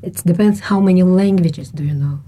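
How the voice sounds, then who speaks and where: A woman's voice: fairly low pitch, normal speed, dark tone, a little hiss or hum. A woman, a panel guest speaking earnestly with phrasing. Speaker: panel guest, event organizer.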